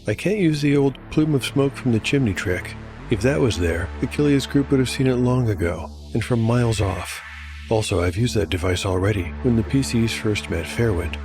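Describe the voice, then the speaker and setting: A man narrating a scripted audio drama as game master, with a measured storyteller's delivery. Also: mid-range voice, lively intonation. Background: music.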